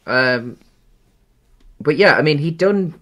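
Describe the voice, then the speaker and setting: A man's voice: a little high, expressive, takes it slowly, fairly bright tone. A man in his thirties co-hosting a podcast on a video call, chatting about a film with lots of ums and restarts. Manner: hesitant, many ums and restarts. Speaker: podcast co-host. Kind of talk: casual film discussion. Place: small room at home. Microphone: headset on a video call.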